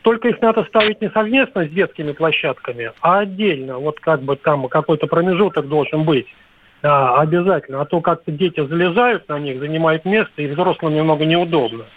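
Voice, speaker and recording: A man calling a radio show, speaking slowly and deliberately with pauses. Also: on the high side, a little hiss or hum. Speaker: caller. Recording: tinny phone line.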